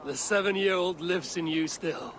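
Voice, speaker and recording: An actor playing a steady, wry Viking warrior in a video game, with a measured, understated delivery. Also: male voice, sits high. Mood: fond, teasing. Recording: music behind the voice. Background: music.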